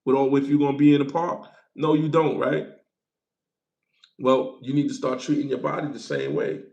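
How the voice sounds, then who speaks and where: A man's voice: mid-range voice, normal speed, vocal fry, darkish tone, echoey room, muffled recording. A man in his forties hosting a casual livestream, loose and playful, repeating himself and thanking viewers for gifts. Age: 40s. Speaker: livestream host.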